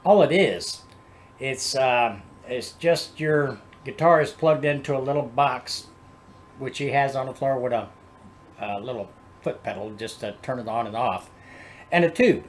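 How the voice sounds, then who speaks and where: A man's voice: medium pitch, measured pace, a little hiss or hum. A man hosting a music reaction channel, chatting casually and explaining things in a rambling, unscripted way. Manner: rambling, loosely improvised.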